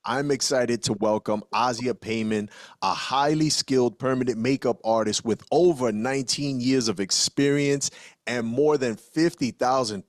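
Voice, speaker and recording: A man's voice: mid-range voice, no room sound, studio quality. A man hosting a podcast, introducing and interviewing a guest with emphatic enthusiasm. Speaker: podcast host.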